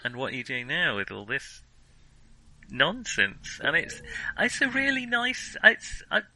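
A man co-hosting a film podcast, talking casually in an excitable, halting way as his thoughts tumble out. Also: on the high side, poor audio.

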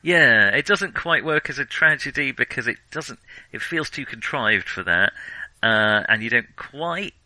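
A man's voice: mid-range voice, lively intonation, a little slow, pushed and bright, no room sound, rough recording. A man co-hosting a casual film discussion, hesitant as he thinks his way aloud through his opinions. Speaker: film podcast co-host.

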